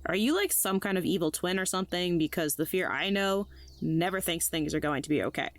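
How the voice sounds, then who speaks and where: Actress as sardonic woman, kinda low voice, blunt slangy casual talk, audio drama. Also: lively intonation, a little fast, natural voice. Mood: wry, skeptical. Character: Olivia, blunt, sardonic, slangy human friend.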